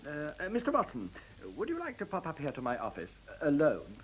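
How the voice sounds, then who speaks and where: A man's voice: on the high side, wildly swooping pitch, fairly quick, slight background noise, poor audio. A man playing a polite, hesitant, officious director of a secretive computer plant in a radio play.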